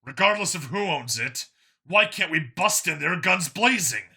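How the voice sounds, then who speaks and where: Man playing gruff weary detective, bright forceful voice. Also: on the high side, expressive, moderate pace, pitched above the performer's own voice, heavily acted. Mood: frustrated. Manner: terse, grumbling. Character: Vincent, gruff weary human detective on dangerous missions.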